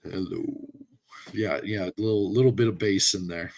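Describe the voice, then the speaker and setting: Hoarse man with a cold, rambling. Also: deep voice, fairly even intonation, moderate pace, a little mellow. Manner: rambling, opinionated, many fillers and restarts. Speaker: podcast co-host. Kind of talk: casual chat.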